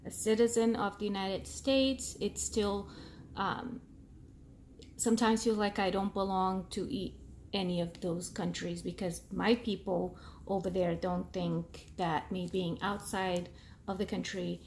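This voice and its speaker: A woman in her thirties whose first language is Portuguese, speaking English with an accent in a casual vlog, pausing often with ums as she searches for words. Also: medium pitch, steady tone, a little mellow, a little hiss or hum. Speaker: vlogger. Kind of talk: casual talking-to-camera vlog.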